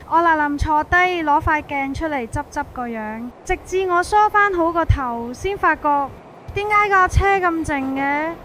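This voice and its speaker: Female narrator, Hong Kong Cantonese, extremely high voice, colloquial dramatized suspense storytelling over light ambient noise. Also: expressive, moderate pace, fairly bright tone. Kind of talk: first-person scripted suspense narration.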